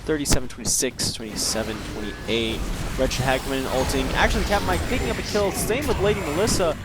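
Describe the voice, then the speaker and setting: A man's voice: medium pitch, fast, loud music behind the voice. Joking man casting a live game. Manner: joking, teasing co-caster. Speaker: esports caster.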